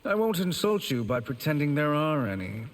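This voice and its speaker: An actor playing a cruel, self-serving sorcerer in fighting-game dialogue, suave, mocking and formal. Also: male voice, on the high side, very animated, normal speed. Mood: smug menace.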